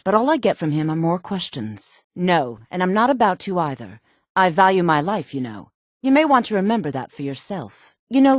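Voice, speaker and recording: A woman's voice: no echo, studio quality. An actress playing a sultry, hard-edged, guarded woman in a fantasy video game, with cool, pointed delivery. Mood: curt, warning, then pleased. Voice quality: sultry, low-pitched. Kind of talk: video game character voice lines.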